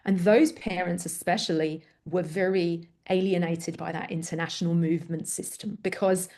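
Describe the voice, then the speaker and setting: Woman, middle-aged, English accent, from Greece; interview guest, candid, animated, self-deprecating storyteller. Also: lowish voice, moderate pace. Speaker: parent coach guest. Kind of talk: conversational interview.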